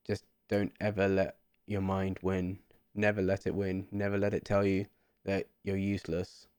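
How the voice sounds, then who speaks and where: A man's voice: low voice, a little mellow, smooth, no echo, studio quality. A man, talking earnestly and a bit rambling to camera in a casual vlog. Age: 20s. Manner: earnest, rambling, repeats himself. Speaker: vlogger. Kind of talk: casual reflective monologue to camera.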